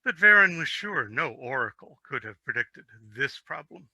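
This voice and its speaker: A man giving an expressive, theatrical dramatic reading of a fantasy story as its narrator. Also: mid-range voice, moderate pace, dry recording.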